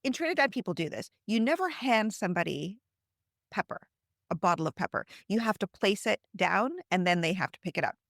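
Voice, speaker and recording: A woman from Canada hosting a conversational podcast, phrasing her thoughts in a thoughtful, measured way. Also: mid-range voice, very animated, gravelly, fairly bright tone, dry recording, clean studio sound. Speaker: podcast host.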